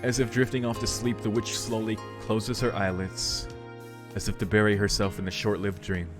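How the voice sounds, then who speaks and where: Low male voice, let's play commentary, casual and reflective, clear game music behind. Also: level tone, normal speed. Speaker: streamer playing a visual novel.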